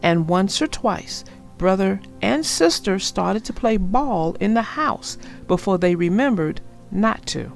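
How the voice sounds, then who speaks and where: A woman reading a children's story aloud and talking to young listeners in an expressive, deliberate, instructive way. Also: mid-range voice, creaky, faint music behind.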